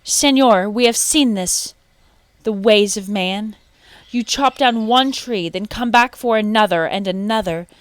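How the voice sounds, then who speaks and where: An excited storybook monkey speaking for the troop, acted by a woman reader. The voice is loud and pitched up, insistent and scolding. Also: unhurried, no room sound, close to an everyday voice. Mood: insistent warning.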